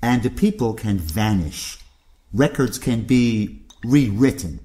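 A man's voice: lowish voice, animated, takes it slowly, dark tone. A man playing a cold, condescending older uncle, a ruthless government loyalist, in an audio drama. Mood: menacing. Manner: condescending, declamatory.

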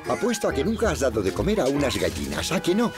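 A man playing a kindly, patient older neighbour in a children's cartoon, with a Castilian Spanish accent and gentle, encouraging manner. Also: medium pitch, wildly swooping pitch, fast talker, over loud music.

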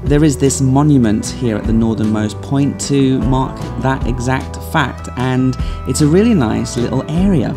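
An easygoing man with a voice of middling pitch narrates his road trip in a relaxed, conversational tone. Plucked guitar music plays clearly behind him. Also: unhurried. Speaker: travel vlogger. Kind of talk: travel vlog narration.

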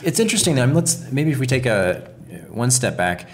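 A man's voice: medium pitch, very animated, normal speed, a loud crowd. A man co-hosting a podcast in a reflective, conversational style. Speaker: podcast co-host.

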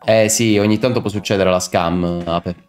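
A young man with a fairly low voice streams a video game. He comments casually, half muttering to himself and half talking to his chat, with game music playing faintly behind him. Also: fairly even intonation, normal speed, darkish tone. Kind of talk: casual live game commentary, chatting with viewers.

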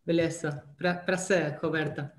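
A man's voice: fairly high pitch, fairly even intonation, measured pace. A young man from Argentina with an Argentine Spanish accent, a native Spanish speaker answering interview questions over a video call, hesitant and often restarting his words. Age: young adult. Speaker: data scientist guest. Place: small room at home, indoors. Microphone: laptop webcam on video call. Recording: echoey room.

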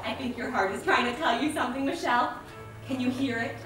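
A woman's voice: fairly high pitch, fast, music in the background, natural voice. A young woman playing an earnest, melodramatic best-friend sidekick in a stage comedy, emphatic and drawn out. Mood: earnest, coaxing. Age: young adult. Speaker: student stage actor. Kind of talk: theatrical stage comedy acting. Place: large theater stage, indoors. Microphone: far camera. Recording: distant, echoey theater with audience laughter.

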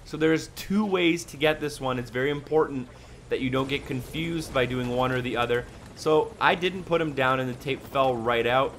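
A man's voice: medium pitch, normal speed, a bit bright, a little hiss or hum. Man narrating a casual game walkthrough. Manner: instructional, step-by-step. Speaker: video game guide narrator. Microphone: voice-over on a microphone.

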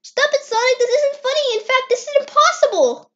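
A performer voicing an eager, anxious young boy fox in an amateur cartoon comic dub, earnest and excitable. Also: very high-pitched, fairly quick, pitched above the performer's own voice. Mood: panicked. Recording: dull, lo-fi screen-recorded sound.

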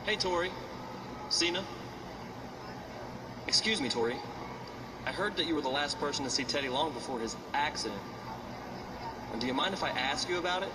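A man's voice: a little high, animated, moderate pace, long pauses, lightly acted. An actor playing a stern, blunt male wrestler questioning others in a video game cutscene. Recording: distant game audio, loud noise.

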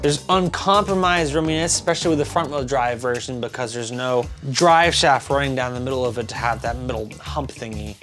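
A man in his thirties hosting a casual car review to camera, with a loose, tipsy delivery full of stumbles and drawn-out words. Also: medium pitch, fairly bright tone. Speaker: host of a car review show. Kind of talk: casual scripted-list review to camera with asides. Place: small home office room with computer monitors. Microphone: lavalier clipped to shirt. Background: music.

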